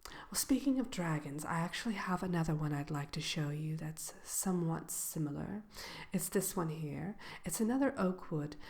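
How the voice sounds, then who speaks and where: A woman playing a polite, slyly malicious wicked witch selling brooms in a soft, hesitant, unhurried ASMR roleplay. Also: low voice, expressive. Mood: genteel, unhurried. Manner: hesitant, unhurried, genteel shopkeeper patter. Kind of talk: ASMR roleplay, soft-spoken and partly whispered.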